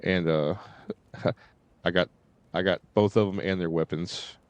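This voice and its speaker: A man streaming a game and chatting casually, halting and often repeating himself. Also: low voice, fairly even intonation, darkish tone, smooth, no echo. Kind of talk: casual live stream chat.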